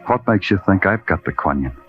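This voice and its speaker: Low-voiced hard-boiled detective, vintage radio. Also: male voice, fairly quick, faint music behind. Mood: wry, cool. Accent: American. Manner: deadpan, clipped, dryly sardonic. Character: wry, hard-boiled male police detective. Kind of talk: old-time radio crime drama acting. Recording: muffled vintage radio recording.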